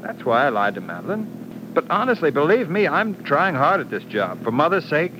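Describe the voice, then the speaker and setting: A man's voice: on the high side, sing-song, normal speed, noisy background. An actor playing a carefree young rich man in a 1940s radio drama, boyish and coaxing, on an old radio recording. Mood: pleading.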